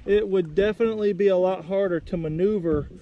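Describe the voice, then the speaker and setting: Young american guy, high voice, folksy n excitable vlog chat, kinda muffled outdoor audio. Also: brisk. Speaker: vlog host. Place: outdoors on a kayak under a bridge. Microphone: action camera. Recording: muffled action camera audio with outdoor noise.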